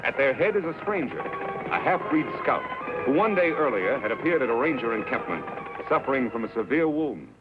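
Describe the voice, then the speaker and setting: A man's voice: mid-range voice, very animated, normal speed, music in the background. Dramatic, swooping American radio narrator, male. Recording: muffled old radio recording.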